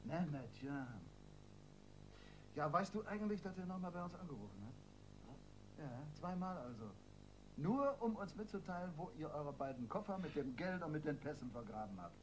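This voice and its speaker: A man's voice: fairly high pitch, sing-song, moderate pace, background noise. A man playing a domineering senior police detective in a radio crime drama, mocking, condescending and emphatic. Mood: taunting.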